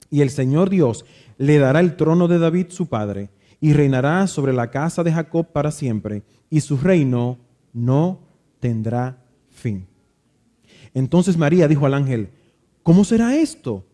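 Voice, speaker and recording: A man with a Dominican accent, reading scripture aloud and then telling a reflective story about growing up, pausing and restarting now and then. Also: fairly high pitch, dark tone. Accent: Dominican Spanish. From Dominican Republic. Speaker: preacher. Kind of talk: Bible reading and devotional talk.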